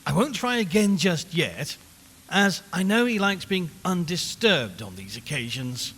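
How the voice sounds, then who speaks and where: Radio drama reporter, male actor: uneasy, wry, chatty man, high bright voice, dramatised live broadcast. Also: sing-song, a little slow, slightly rough, exaggerated voice. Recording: long reverberant hall, background noise.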